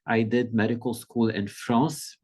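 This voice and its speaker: A middle-aged man from Lebanon, a pediatric endocrinologist, giving an informal presentation over a video call in a measured, conversational way, often pausing to find his words. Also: mid-range voice, flat delivery, a little mellow, breathy edge.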